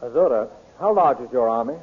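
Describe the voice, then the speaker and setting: An actor playing a heroic, courtly young commander in a declamatory, formal 1930s radio serial style. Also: male voice, mid-range voice, animated, brisk, slightly rough. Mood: commanding. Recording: tinny, noisy old radio recording.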